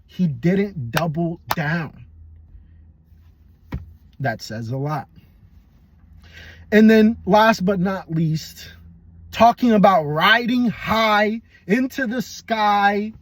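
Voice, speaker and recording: A young adult man casually giving blunt, profane, rambling fight predictions as a podcast host. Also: high voice.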